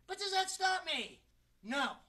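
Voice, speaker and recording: A man's voice: very high voice, big pitch swings, takes it slowly, pushed and bright, exaggerated voice. A man performing a theatrical monologue as an exasperated, wisecracking struggling actor, sarcastic and colloquial.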